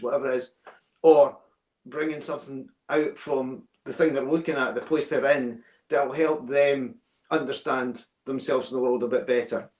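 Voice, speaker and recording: A man's voice: mid-range voice, roomy sound. A Scottish man in his sixties, a guest in an interview, explaining ideas thoughtfully, often repeating words and checking in with "right?". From Scotland. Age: 60s. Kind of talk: reflective interview talk.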